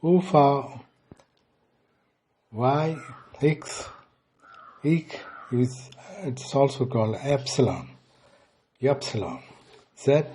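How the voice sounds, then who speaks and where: A man giving an instructional language lesson as a teacher, deliberately sounding things out piece by piece. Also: medium pitch, dark tone, slightly rough. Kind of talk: slow instructional lesson with repetition.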